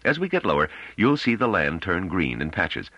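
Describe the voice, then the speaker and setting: A man's voice: sits low, big pitch swings, normal speed, bright, forceful tone, no echo, full character voice. A man voicing an assured male space pilot who explains things, in an audiobook on a dull recording.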